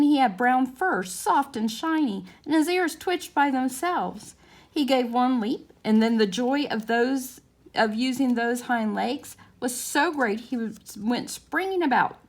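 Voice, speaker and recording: A woman narrating a children's storybook as a story time reader, in a measured, expressive way. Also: a little high.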